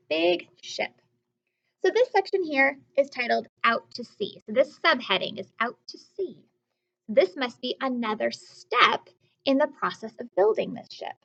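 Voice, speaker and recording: A woman's voice: high voice, vocal fry, lo-fi sound. A woman teacher reading aloud to young children, explaining as she goes, asking questions and repeating key words in an instructive way.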